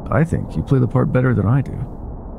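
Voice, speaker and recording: A man playing an older ex-mafia boyfriend, wry, tender and self-doubting, with a husky, breathy voice in an intimate roleplay audio. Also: medium pitch, moderate pace, phone-quality sound, background noise, natural voice. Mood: tender, teasing.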